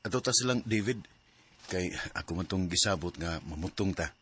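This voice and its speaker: A man's voice: sits low, normal speed, fairly bright tone, breathy edge. An actor playing a persistent, pleading young male suitor in a Philippine radio drama. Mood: eager.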